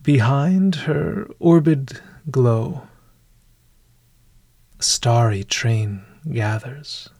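A man narrating a scripted bedtime meditation as a podcast host, in a hushed, deliberate manner with long pauses. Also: medium pitch, expressive, no room sound, studio quality.

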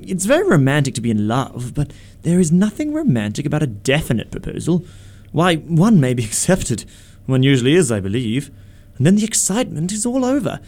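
A flippant, idle young English gentleman in a Victorian radio comedy, acted by a man. He talks in arch, ornate phrases, his slightly high, mellow voice swinging up and down. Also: big pitch swings, a little fast. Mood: airy, flippant. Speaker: amateur radio theatre actor. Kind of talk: radio play acting of a Victorian comedy of manners.

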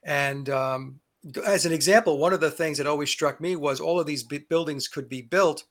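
A man as a guest in a casual interview over a video call, speaking haltingly with many fillers and restarts. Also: fairly high pitch, a bit bright.

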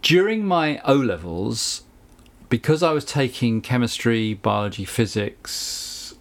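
A middle-aged man with a Southern English accent, a recording engineer telling anecdotes in a relaxed interview, chatty with frequent asides. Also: mid-range voice, takes it slowly. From England. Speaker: guest, recording engineer and producer.

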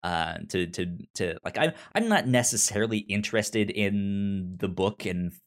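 Guy with a lowish voice chatting on a podcast, stutters on syllables, kinda halting. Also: expressive. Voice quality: stutter, repeating sounds and syllables. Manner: halting, searching for words. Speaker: podcast co-host reviewing an audio drama. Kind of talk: casual discussion.

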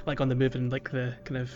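A man co-hosting a podcast, explaining a video game in a casual, halting way. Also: medium pitch, lo-fi sound. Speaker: podcast co-host.

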